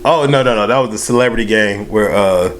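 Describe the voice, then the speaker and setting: A man's voice: lowish voice, a little fast, a little hiss or hum. A young man co-hosting a casual sports chat, teasing his partner and laughing a lot. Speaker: podcast co-host.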